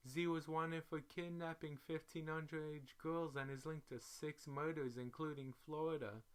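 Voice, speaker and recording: Man putting on a high, pleading young woman. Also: steady tone, moderate pace, clear, resonant tone, lightly acted. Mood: urgent.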